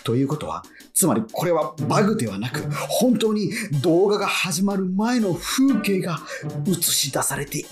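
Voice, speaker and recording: Man acting an animated, excitable lone fan. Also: medium pitch, close to an everyday voice. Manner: animated, self-muttering, easily flustered. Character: excitable male fan living alone, talking to himself. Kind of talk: Japanese radio drama narration and acting. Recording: faint music behind.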